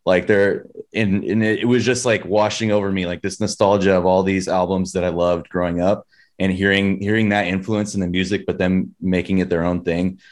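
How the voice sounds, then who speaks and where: Man, Californian accent, deep voice, casual storytelling, rambling, slangy. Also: flat delivery, brisk. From San Diego, United States. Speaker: metal band vocalist, interview guest.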